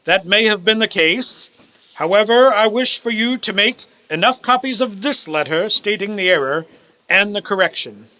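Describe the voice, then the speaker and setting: A man's voice: high voice, lively intonation, moderate pace, pushed and bright, low-quality recording. An actor playing an elderly reformed miser in a radio play, grand, formal and emphatic in his delivery. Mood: mock stern.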